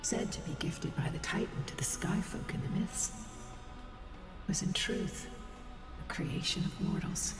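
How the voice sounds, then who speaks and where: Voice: medium pitch, animated, unhurried, long pauses, distant mic, lightly acted. An actor voicing a solemn, wrathful divine being in a fantasy game, speaking in grave, declamatory lines. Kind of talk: scripted fantasy game dialogue. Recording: long hall reverb, music underneath.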